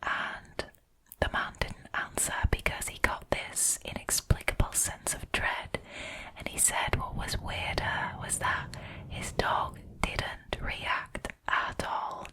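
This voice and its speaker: A woman, hosting a scary story channel and retelling paranormal encounters in a soft, steady whisper close to the microphone. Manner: soft unvoiced whisper throughout, unhurried.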